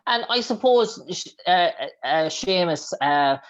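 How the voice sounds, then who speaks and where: A man's voice: sits high, animated, a bit bright. A man with an Irish accent presenting a radio sports show, asking long questions full of restarts and fillers. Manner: halting, many restarts and fillers. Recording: dull lo-fi sound.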